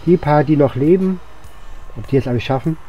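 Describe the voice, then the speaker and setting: Dark-voiced man muttering through a video game. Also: fairly high pitch, a little fast, lo-fi sound, slight background noise. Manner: rambling, thinking aloud while playing. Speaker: gaming channel host. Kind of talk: casual video game commentary. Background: game music and sound effects.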